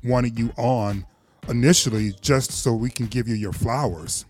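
A man's voice: mid-range voice, a little slow, a little mellow, faint music behind. A man hosting an interview who speaks playfully and effusively, dropping sassy asides. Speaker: podcast host. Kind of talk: conversational interview.

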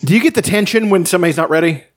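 A man's voice: sits high, very fast, a loud crowd. A man co-hosting a podcast in casual banter, sweary and given to exaggerated comic rants. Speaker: podcast co-host.

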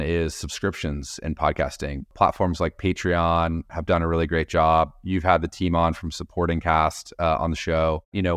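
A man being interviewed as a podcast company executive, answering in a measured, businesslike way with frequent "you know" fillers. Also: deep voice, no room sound, studio quality.

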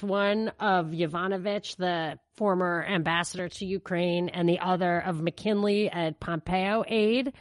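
An American woman speaks as a podcast co-host in a casual political discussion. Her delivery is opinionated and emphatic, at a medium pitch. The sound is dry, with very little room echo.

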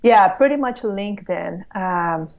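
A Brazilian-accented woman, a native Portuguese speaker, talks as an interview guest. She sounds excited and often restarts her sentences. Her audio is slightly muffled. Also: mid-range voice. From Latin America. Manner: warm, excited, halting restarts. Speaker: podcast guest. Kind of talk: conversational interview.

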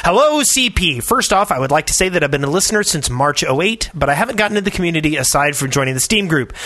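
A man's voice: on the high side, animated, fast talker, pushed and bright, no echo. A man chatting casually as a podcast host. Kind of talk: casual chat.

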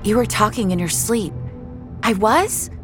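A woman's voice: mid-range voice, animated, measured pace, voice pushed up, natural voice. A woman voicing a young girl in an audio drama, childlike and urgent, with music behind her. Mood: startled, confused. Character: young sisters, girls, secretive and frightened. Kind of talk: character dialogue in audio fiction.

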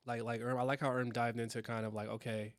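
A man's voice: medium pitch, flat delivery, fast, no room sound. Dry-miked casual male podcast host. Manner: affirming, asks reflective questions. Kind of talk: casual chat.

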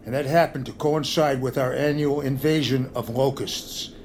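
A man's voice: mid-range voice, steady tone, moderate pace, a little mellow, a little hiss or hum, lightly acted. A man playing an earnest, folksy, rambling storyteller in a comic audio drama. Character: folksy, earnest male teller of rambling tales. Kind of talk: comic audio fiction acting.

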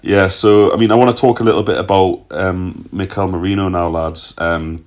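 Low-voiced man hosting. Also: fairly even intonation, moderate pace. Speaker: podcast host. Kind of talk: casual football discussion.

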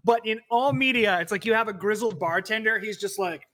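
Bright, rambling man on a podcast. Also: high-pitched, fairly quick. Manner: rambling, filler-heavy. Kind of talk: casual chat.

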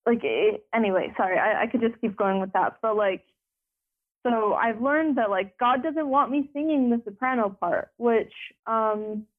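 Young woman talking over a phone line. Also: normal speed. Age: young adult. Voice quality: deeper voice. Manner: rambling, lots of filler words and restarts. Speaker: interview guest. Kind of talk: conversational interview.

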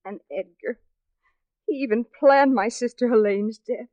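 A woman plays an earnest young sweetheart in an old radio mystery. Her voice sits high and carries anxious, melodramatic feeling. The vintage recording has very little room sound. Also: a little slow, darkish tone, dry recording, natural voice. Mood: sad, bewildered. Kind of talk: 1940s radio acting.

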